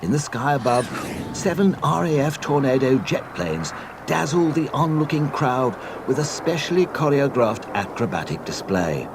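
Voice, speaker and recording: A man narrating a scripted read-along storybook in a mock-solemn, deadpan comic manner. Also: medium pitch, normal speed, background noise.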